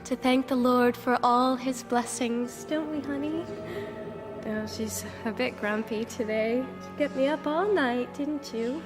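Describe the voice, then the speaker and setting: Audio drama: actress as sweetly unhinged young handmaid, high voice, cooing and dreamy. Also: female voice, measured pace, music in the background, lightly acted. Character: deluded grieving young handmaid, sing-song sweet, cradling imaginary baby.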